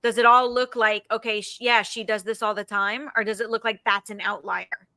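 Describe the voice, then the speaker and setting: A woman's voice: mid-range voice, flat delivery, normal speed, sharp tone, no echo. A woman hosting a podcast, talking earnestly and giving advice to students in a casual chat. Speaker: podcast host.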